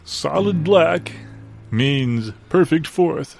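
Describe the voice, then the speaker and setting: A man playing the earnest narrator of a guitar lesson record, measured and didactic, in a comedy parody. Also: fairly high pitch, lively intonation, vocal fry, a little mellow, heavily acted.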